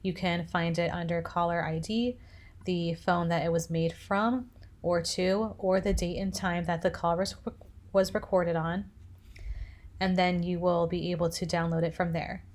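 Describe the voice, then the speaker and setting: Lower-pitched woman narrating a software tutorial. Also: fairly even intonation, a little slow. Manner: step-by-step instructing. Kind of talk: instructional screencast narration.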